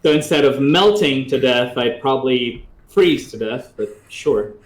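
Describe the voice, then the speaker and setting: A man's voice: medium pitch, expressive, unhurried, echoey room, slight background noise, poor audio. A young man from Brazil, a game developer guest, answering interview questions modestly, with self-deprecating humor. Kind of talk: casual interview chat.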